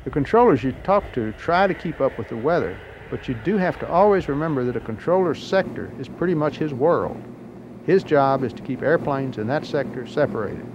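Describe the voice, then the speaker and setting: A man's voice: medium pitch, animated, brisk. A man reading scripted instructional narration for pilots in a plain-spoken, explanatory manner. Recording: muffled, noisy old training tape.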